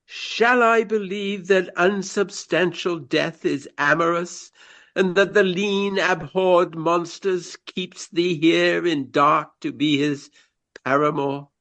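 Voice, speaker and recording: A theatrical gentleman of the Regency stage in a radio drama. He declaims at a very leisurely pace in a fairly high male voice, courtly and earnest, savouring florid phrases with hammy grandeur. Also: steady tone, slow pace, exaggerated voice. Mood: mournful, declaiming. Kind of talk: radio play acting, declaimed Shakespeare.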